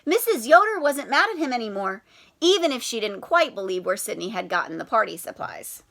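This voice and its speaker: High, bright-voiced woman teaching young children. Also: very animated, fairly quick, gravelly. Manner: addresses children directly with prompting questions. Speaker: primary school teacher. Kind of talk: children's read-aloud and lesson with questions.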